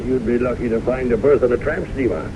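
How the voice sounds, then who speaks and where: A man's voice: medium pitch, a little fast, natural voice. An actor playing a genial, bemused male acquaintance, hesitant and conversational, in an old-time radio drama. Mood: amused. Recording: muffled, noisy old radio broadcast tape.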